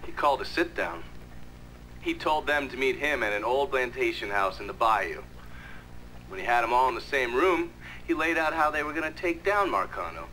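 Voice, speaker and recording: Game role: factual witness recounting events. Crisp-edged bright tone, measured, slight noise. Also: male voice, medium pitch, expressive, rough recording. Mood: matter-of-fact. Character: matter-of-fact male witness recounting a gangster's deeds.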